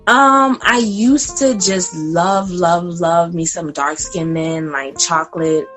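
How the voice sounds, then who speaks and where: This is a young woman in her late twenties chatting casually on a podcast. Her voice sits a little low, and her delivery is loose and animated. Faint guitar music plays underneath. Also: slow pace. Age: 20s. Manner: chatty and animated, gets loud and laughing when excited. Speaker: podcast guest. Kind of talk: casual chat.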